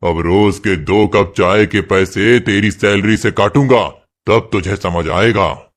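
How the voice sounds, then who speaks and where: A man's voice: lowish voice, slow pace. A man voicing a stingy, harsh tea-shop boss in a cartoon moral story, bossy and sarcastic. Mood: angry, sarcastic. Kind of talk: animated moral story acting.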